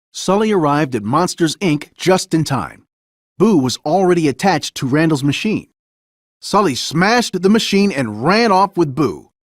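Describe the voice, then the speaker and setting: Animated male children's storybook narrator. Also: on the high side, dry recording, studio quality. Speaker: storybook reader. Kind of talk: children's story read-aloud, scripted narration.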